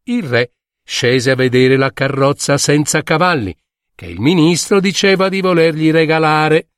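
A man telling a fairy tale in an expressive, theatrical way, lingering on repeated words. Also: a little high, normal speed, no room sound, clean studio sound. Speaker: storyteller.

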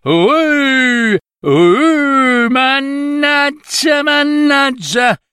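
A man's voice: extremely high pitch, drawn-out words, bright, forceful tone, dry recording, clean studio sound, pitched above the performer's own voice, exaggerated voice. A man voicing an ogre's kind, anxious, wily young daughter in a fairy tale, pleading and coaxing. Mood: alarmed.

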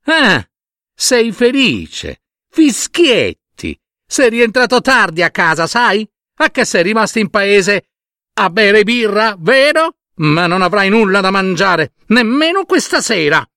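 Shrill storybook wife, bossy, greedy, nagging, very high, swooping pitch. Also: male voice, big pitch swings, sharp tone, dry recording, studio quality, full character voice. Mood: scornful nagging. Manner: exclaiming, nagging, drawn-out emphatic words.

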